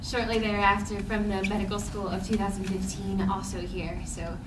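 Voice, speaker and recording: A woman's voice: mid-range voice, flat delivery, moderate pace. A woman in her thirties, a doctor in training, introducing herself enthusiastically on an alumni panel. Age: 30s. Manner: enthusiastic, frequent ums. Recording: roomy hall, distant mic, background noise.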